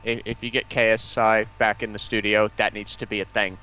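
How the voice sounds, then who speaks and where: A man's voice: lowish voice, flat delivery, a little fast, sharp tone, clear, resonant tone, low-quality recording. A man appearing as a guest on a livestream, talking in casual banter. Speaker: stream guest.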